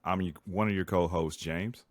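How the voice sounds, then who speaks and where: A man's voice: low-pitched, steady tone, normal speed, no echo. A man in his forties chatting casually as a podcast co-host, with slangy, laid-back phrasing. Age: 40s. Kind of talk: casual chat.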